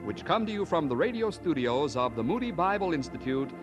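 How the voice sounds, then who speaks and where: Male announcer, formal old radio narration, animated delivery with clear organ backing. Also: fairly high pitch, fairly quick.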